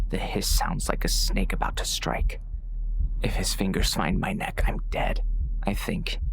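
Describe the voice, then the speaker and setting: A man playing a grim, wry bounty hunter who narrates a western audiobook in a gravelly, hard-boiled way. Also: low voice, fairly bright tone, a little hiss or hum, poor audio. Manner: hard-boiled, sardonic, intense. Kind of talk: first-person scripted audiobook narration.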